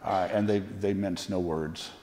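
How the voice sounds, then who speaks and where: A man speaking as a panelist, measured and deliberate, with many uhs as he builds his point. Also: low voice, fairly even intonation, dark tone. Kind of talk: academic panel discussion. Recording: long hall reverb.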